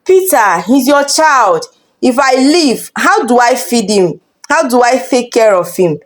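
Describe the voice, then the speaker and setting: A woman's voice: on the high side, big pitch swings, a little slow. A woman storyteller voicing a wronged, resolute young mother, wounded and bitter, with pointed dramatic delivery in a folktale. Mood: pleading.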